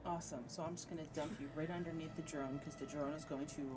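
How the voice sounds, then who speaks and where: A woman's voice: sits low, steady tone, fast talker. A woman narrating a tabletop game as game master in an informal, giggly manner. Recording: music behind the voice. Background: atmospheric synth music.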